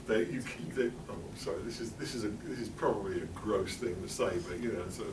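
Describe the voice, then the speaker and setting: A man's voice: lowish voice, quick pace, background noise. A middle-aged English man giving a chatty, wry talk in a library, full of fillers and asides. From England. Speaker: presenter. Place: public library meeting room. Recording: roomy hall, speaker far from mic.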